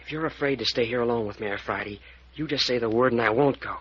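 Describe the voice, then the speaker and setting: A man's voice: medium pitch, fast talker, breathy edge, close to an everyday voice. An actor playing an earnest, protective, suspicious young American man in an old-time radio mystery, urgent and stubborn. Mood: urgent, secretive. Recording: dull, noisy vintage radio recording.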